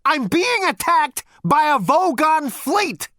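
Audiobook space rogue, voiced by a male reader: raised pitch, nervous stammer, flustered and hesitant before his elders. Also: big pitch swings, normal speed, a bit bright, no echo, clean studio sound. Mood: flustered, pleading. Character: brash male alien adventurer, flustered by his great-grandfather.

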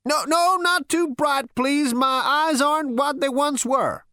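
Storybook ancient alien patriarch: very high, screeching rasp, scornful, snapping, stretching words for emphasis. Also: male voice, bright, forceful tone, no room sound, clean studio sound, exaggerated voice. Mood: querulous. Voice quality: screechy, rasping. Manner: snapping, scolding, drawn-out emphasis. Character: tiny ancient two-headed alien great-grandfather, irritable and scornful.

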